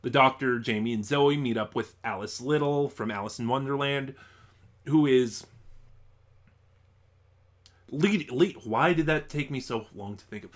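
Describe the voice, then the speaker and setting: A man's voice: mid-range voice, a bit bright. A man giving a casual, unscripted review as an online reviewer, rambling and thinking aloud in a stop-and-start way.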